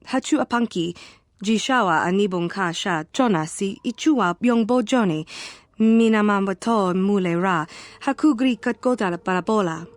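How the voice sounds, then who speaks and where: A woman's voice: medium pitch, normal speed, no echo, lightly acted. A woman playing an intense fallen Jedi in a video game, speaking lines in an invented alien tongue.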